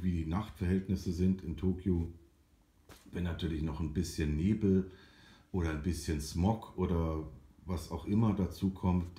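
A man with a low, very mellow and dark voice explains something off the cuff. His phrasing is halting and drawn out, often capped with a short tag question. The room adds clear echo around him. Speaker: amateur explainer of aviation topics. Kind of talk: informal unscripted explanation over a hand-drawn sketch. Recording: roomy, echoing home recording.

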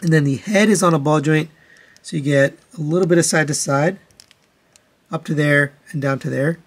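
Higher-pitched man casually reviewing toys. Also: moderate pace. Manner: methodical, matter-of-fact, hands-on demonstrating. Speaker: toy reviewer. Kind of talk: casual product review commentary.

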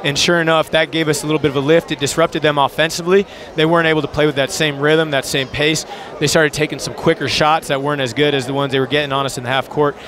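A young man speaks as a basketball coach in a post-game interview. His voice sits a little high and his phrasing is informal and earnest. He is on a headset courtside, with a clear bed of crowd noise behind him. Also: flat delivery, fast talker, few pauses. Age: young adult. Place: courtside in an indoor arena. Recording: crowd noise in busy arena.

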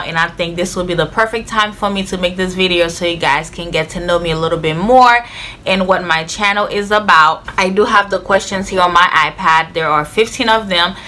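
A woman's voice: on the low side, bright, forceful tone. A young woman in her twenties with a Haitian accent, chatting earnestly to camera in her bedroom with lots of "like" fillers. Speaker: vlogger. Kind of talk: casual chat to camera. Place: bedroom, indoors.

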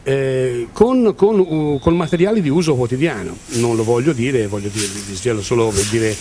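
A man's voice: medium pitch, moderate pace, warm tone. Man in his fifties, noisy room. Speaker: theatre company member being interviewed. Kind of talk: informal interview answers. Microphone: handheld interview microphone. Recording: clearly noisy room ambience.

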